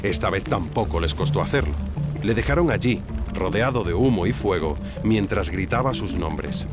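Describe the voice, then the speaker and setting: A man's voice: sits low, expressive, moderate pace, over loud music. A man with an accent from Spain giving scripted, dramatic documentary narration. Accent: Spanish (Spain).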